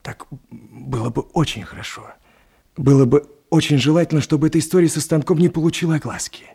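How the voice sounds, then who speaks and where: An actor in a radio play voicing an embarrassed, ingratiating official, husky and halting, politely confidential. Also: male voice, on the high side, steady tone, mellow. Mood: awkward, confidential. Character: embarrassed, ingratiating male official asking a discreet favour. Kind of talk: theatrical radio drama acting.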